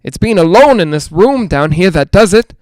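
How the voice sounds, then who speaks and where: Male, clear high voice; actor playing a jittery, lonely haunted-house broadcaster, rambling, halting, old-time radio drama. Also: animated, no room sound, clean studio sound. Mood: nervous, uneasy. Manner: nervous, rambling, halting, self-reassuring. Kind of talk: 1940s-style radio drama monologue.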